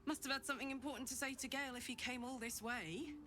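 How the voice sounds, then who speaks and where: A woman playing a blunt, excitable, informal adventurer in a video game. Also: high-pitched, fast talker. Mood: surprised, puzzled. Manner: informal, exclamatory, swearing. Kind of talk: video game character acting. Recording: loud music behind the voice.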